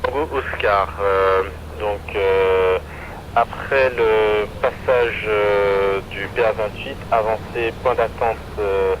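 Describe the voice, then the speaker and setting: A male air traffic controller with a fairly low voice speaks in halting radio instructions. The transmission comes through an aviation radio over clear engine and cockpit noise. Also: fairly even intonation, muffled recording. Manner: hesitant, halting phrasing. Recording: noisy aviation radio with aircraft drone.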